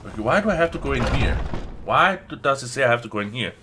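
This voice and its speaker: A man's voice: medium pitch, animated, quick pace, noisy background. A man doing casual let's play commentary, reacting aloud in a crude, jokey, exclamatory way.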